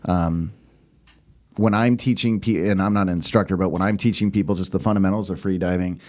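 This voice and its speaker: Low-voiced man chatting as podcast co-host. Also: steady tone, brisk, a little mellow. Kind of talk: casual chat, explaining.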